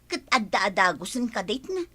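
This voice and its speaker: A woman's voice: medium pitch, big pitch swings, moderate pace, bright, forceful tone, slightly rough, heavily acted. A woman playing a frank, resolute young single woman in a radio drama, speaking emphatically and conversationally. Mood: frank, resigned.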